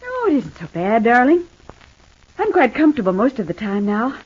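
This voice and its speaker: An actress playing a devoted, gentle wife recovering in hospital in a 1950s radio soap, speaking tenderly and earnestly. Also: female voice, a little high, huge pitch swings, normal speed, slightly rough, full character voice. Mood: tender, brave. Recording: dull, band-limited old radio recording.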